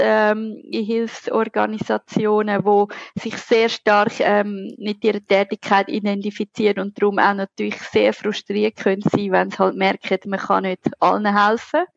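Female podcast guest, Zurich Swiss German accent, gravelly fry in an interview delivery, dry slightly muffled recording. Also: mid-range voice, little pitch movement, unhurried, no echo. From Zurich, Switzerland. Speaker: podcast guest, researcher and lecturer. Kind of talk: conversational interview answers.

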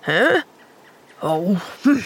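A woman voicing a curt, aloof middle-aged gentleman in a storybook, with a sharp, gruff, grunting manner. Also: fairly high pitch, sing-song, measured pace, exaggerated voice. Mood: gruff, startled. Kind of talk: storybook character voice.

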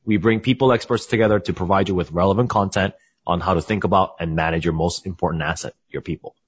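A man's voice: sits low, fairly even intonation, quick pace, husky. A man hosting a business podcast, reading a scripted intro and then asking interview questions in an upbeat way. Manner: upbeat, repeats "awesome". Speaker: podcast host. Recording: dull lo-fi audio.

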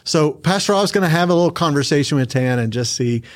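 A man's voice: on the high side, expressive, quick pace, dry recording. Male co-host, scripted intro, dry studio sound. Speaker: podcast co-host introducing the episode. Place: church podcast studio.

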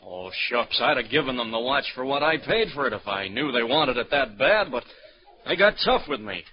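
An actor playing a stubborn, excitable, plain-spoken American husband wronged by crooks, in 1940s radio drama style. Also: male voice, medium pitch, a crowd in the background, lightly acted. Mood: earnest, recalling. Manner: excitable, plain-spoken, halting when recalling. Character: indignant, stubborn married man from Indiana, crime victim. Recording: dull, crackly 1940s radio broadcast recording.

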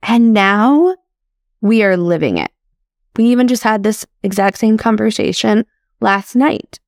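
A woman's voice: medium pitch, expressive, measured pace, gravelly, a little mellow, no echo, clean studio sound. A woman in her thirties hosting a podcast, talking through personal reflections in a chatty, upbeat way full of casual fillers. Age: 30s. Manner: chatty and upbeat, with frequent "like" and "crazy". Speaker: podcast host.

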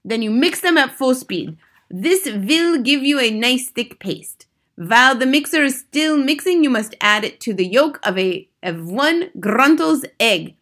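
A woman's voice: high voice, animated, measured pace, fairly bright tone, voice pushed up. A woman voicing an imperious witch leader from a storybook, bossy, scornful and relishing every instruction. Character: imperious, triumphant Grand High Witch, bossy villain. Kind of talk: storybook character read aloud.

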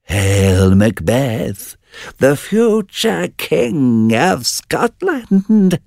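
A man voicing three eerie witches who call out prophecies in high, drawn-out, distant voices. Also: very animated, gravelly, darkish tone, no echo, studio quality, exaggerated voice. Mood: eerie chant.

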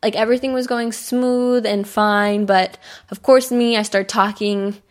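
A woman's voice: medium pitch, fairly even intonation, unhurried. A young American woman hosting a podcast, telling a personal story in a bubbly, chatty way with frequent laughs. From United States. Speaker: podcast host.

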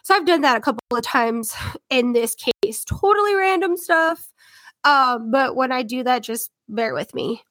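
Young woman, congested head cold, rambling filler-heavy podcast chat, high voice, dry close sound. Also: fairly bright tone, no echo. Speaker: podcast host. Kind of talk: casual true-crime podcast chat.

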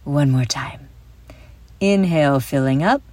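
Slow, low-voiced woman guiding kids' relaxation. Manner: soothing, deliberate instruction with gentle emphasis. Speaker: host and guide of a children's mindfulness audio show. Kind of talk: guided visualization, scripted narration for kids.

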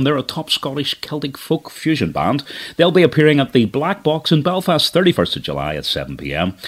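A man with a Northern Irish accent hosting and presenting on community radio. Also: fairly low pitch. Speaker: radio show host.

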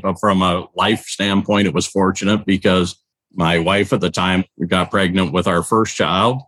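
A man's voice: low voice, fairly bright tone, no room sound. A man in his forties, a podcast co-host, telling his background in a rambling, conversational way with fillers. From Canada. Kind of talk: casual podcast chat.

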